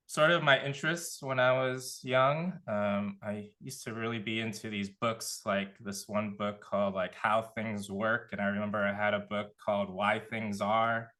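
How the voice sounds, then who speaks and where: A man with a lowish, bright and forceful voice talks about his path into his field. He speaks casually, pausing with frequent ums and uhs as he thinks aloud. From Contra Costa County, California. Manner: relaxed, many fillers, thinking aloud. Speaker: panelist, nonprofit director. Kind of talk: casual career talk.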